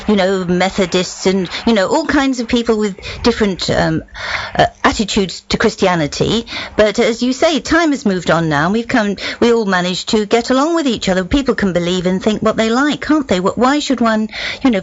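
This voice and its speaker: A woman conducting a conversational radio interview, chatty and thinking aloud, often turning thoughts into rhetorical questions. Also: mid-range voice. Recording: muffled radio recording with faint noise.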